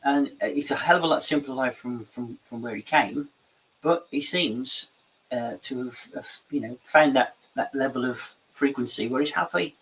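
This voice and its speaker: A man's voice: mid-range voice, moderate pace, fairly bright tone, slightly rough, poor audio. A man hosting a show, chatting in a rambling, repetitive way about a market visit. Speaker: show host. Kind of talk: casual studio chat.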